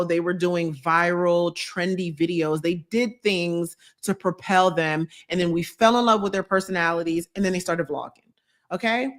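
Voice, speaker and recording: A young woman from New Jersey, a YouTube creator, chatting on a live stream and reading comments, emphatic and repeating her advice. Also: lowish voice. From United States, New Jersey.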